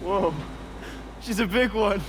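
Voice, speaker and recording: A confident, eager young man at sea in a cinematic video game. His voice sits high and comes at an unhurried pace. Heavy boat and engine noise roars around him. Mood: excited, curious. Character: eager, confident young seafaring son on a tar hunt.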